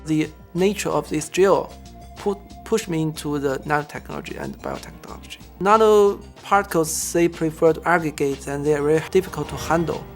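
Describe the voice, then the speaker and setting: A man from Zhejiang with a Chinese accent, a student researcher telling about himself and his work in halting, non-native phrasing. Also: a little high, vocal fry, soft background music. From Zhejiang, China. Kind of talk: interview-style personal and project account.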